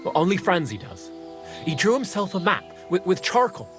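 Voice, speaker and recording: Casting: cheeky medieval rogue, slightly high voice, rough-spoken, haggling for his skin, game drama. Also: sing-song, moderate pace, music in the background. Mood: bargaining. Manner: rough colloquial talk, hesitant hedging. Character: cornered male medieval thief, shifty, cheeky bargainer. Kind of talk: video game drama acting.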